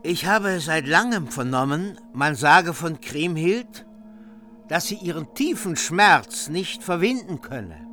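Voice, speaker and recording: An actor playing a grim, mockingly cruel warrior in a radio play, deliberate and theatrical. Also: male voice, high voice, pushed and bright, full character voice. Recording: music behind the voice.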